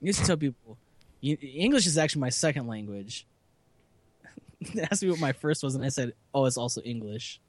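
A man's voice: mid-range voice, animated, fairly quick, dry recording. A man co-hosting a casual podcast chat, rambling and self-deprecating, laughing often. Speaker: podcast co-host.

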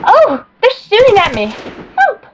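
A sweet young superheroine acted by a woman speaks in a high, lively voice. She is dainty, wide-eyed and full of glee, as in an amateur radio play of a comic book. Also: unhurried, heavy background noise, exaggerated voice. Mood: wide-eyed, pleased.

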